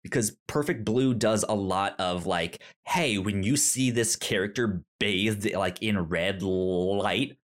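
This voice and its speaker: A man's voice: on the low side, takes it slowly, hardly any pauses. A man co-hosting a podcast, chatting casually about films, often stammering and restarting words. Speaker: podcast co-host. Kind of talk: casual movie-review chat.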